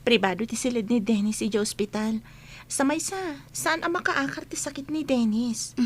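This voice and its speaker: Actress playing a supportive young female friend for radio drama, Ilocano accent, lightly teasing, higher-pitched voice. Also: moderate pace, lightly acted. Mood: earnest. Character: caring young female friend of a sick student. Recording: noticeable background noise.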